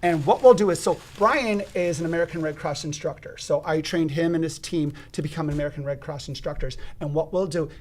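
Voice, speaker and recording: A man explaining CPR steps as an instructor in a podcast studio, with a loud, emphatic teaching voice and frequent restarts. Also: on the high side, fairly quick, few pauses.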